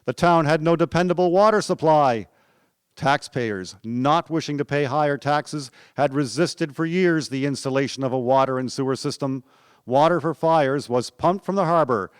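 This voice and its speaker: Male narrator, medium pitch, scripted historical storytelling, dry recording. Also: moderate pace, clean tone, dry recording. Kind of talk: scripted documentary drama narration.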